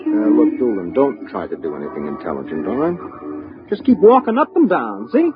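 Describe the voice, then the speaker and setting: An actor playing a tough, wry detective boss in a vintage radio drama, brusque and clipped. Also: male voice, medium pitch, huge pitch swings, a little fast, music in the background. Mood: bossy, wary. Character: tough, wry male private detective boss, plain-spoken. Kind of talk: 1950s radio drama acting. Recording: muffled old radio broadcast recording.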